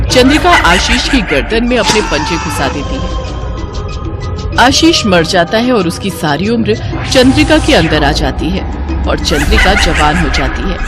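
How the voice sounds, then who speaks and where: A woman narrates a scripted tale in dramatic storytelling style. Her pitch is animated and varied, and music plays clearly behind her.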